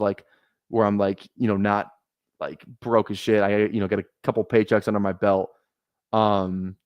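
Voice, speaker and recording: A man's voice: on the low side, darkish tone, no room sound, studio quality. A man in his twenties chatting casually on a fantasy football livestream, rambling, swearing often and laughing at himself. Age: 20s. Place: small apartment room.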